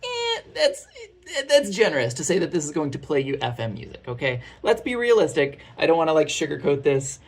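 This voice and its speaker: A man's voice: fairly high pitch, wildly swooping pitch, brisk. A man in his thirties giving a casual product review in a chatty, informal and candid way.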